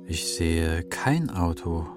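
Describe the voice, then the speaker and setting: An actor voicing a solemn eagle spirit who reports like a seer in slow, sparse statements in a children's radio drama. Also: male voice, low-pitched, animated, music in the background. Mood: solemn, detached.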